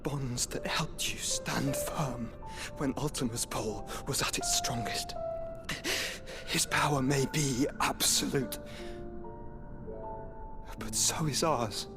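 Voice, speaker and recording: Dying younger brother, fantasy game drama, slow halting delivery, weak breathy near-whisper, noble and earnest, orchestral music underneath. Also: male voice, mid-range voice. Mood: earnest, solemn. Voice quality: breathy, often fading into whisper. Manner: halting, weakened, earnest, measured. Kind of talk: dramatic video game voice acting.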